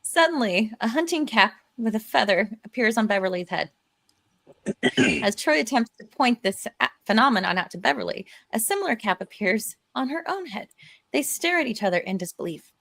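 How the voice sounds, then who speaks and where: Female table-read narrator, informal scripted delivery, fairly high voice with vocal fry. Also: expressive, normal speed, fairly bright tone.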